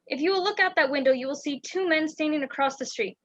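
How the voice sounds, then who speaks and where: Young woman doing a scared mysterious lady spy for a radio drama, really high voice, urgent, kinda dull lo-fi sound. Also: fast, fairly bright tone. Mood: urgent, grave. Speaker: student actor in a school radio play. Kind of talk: amateur radio play acting. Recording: dull lo-fi home recording.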